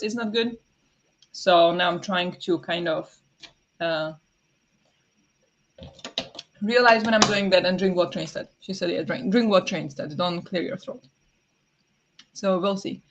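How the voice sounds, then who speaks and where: A woman hosting a casual morning livestream from home, chatting, apologizing and greeting viewers, with many fillers, soft laughs and a voice that sometimes turns raspy. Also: on the low side, moderate pace, dull audio. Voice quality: sometimes raspy, habitually clears throat. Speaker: livestream host.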